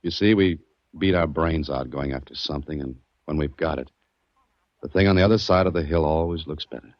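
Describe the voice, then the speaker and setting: An actor playing a calm, world-weary adventurer in a 1940s radio drama, with an understated, hard-boiled manner. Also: male voice, rumbling low, brisk, no room sound. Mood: reflective. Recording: muffled old radio recording.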